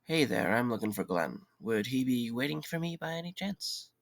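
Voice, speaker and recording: A man acts out a wry, practical visitor in an audio drama. His voice is medium in pitch with plenty of vocal fry. He sounds matter-of-fact and a little sceptical. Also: very animated, moderate pace. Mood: polite, curious.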